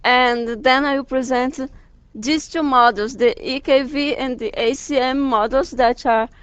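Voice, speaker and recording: A woman in her forties from Brazil with a Brazilian Portuguese accent, giving a university lecture slowly and carefully, with frequent hesitations. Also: high voice, steady tone, clear, resonant tone, no echo. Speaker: guest lecturer. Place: lecture hall. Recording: dull lo-fi lecture recording.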